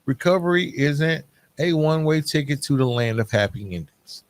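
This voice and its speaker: A man's voice: fairly high pitch, animated, moderate pace. A man hosting a solo podcast, talking candidly and informally in a half-scripted, conversational way, checking in with "right?" as he makes his points. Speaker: podcast host.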